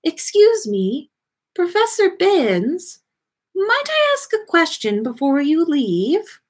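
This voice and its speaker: A woman voicing a dreamy, friendly teenage schoolgirl in a storybook, with a bright, airy voice and polite, serene delivery. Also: very high voice, wildly swooping pitch, slow pace, voice pushed up, full character voice.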